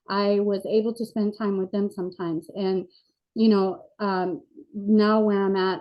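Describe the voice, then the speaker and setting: A woman in her forties speaking as an interview guest, earnest and reflective, with frequent fillers, over a video call. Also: medium pitch. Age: 40s. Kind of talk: candid personal interview. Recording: dull lo-fi sound.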